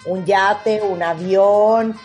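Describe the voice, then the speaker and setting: Mexican lady hosting radio, punchy delivery, soft music under it. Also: mid-range voice, drawn-out words. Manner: lively, emphatic, reads ads. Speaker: radio show host. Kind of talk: radio talk show hosting.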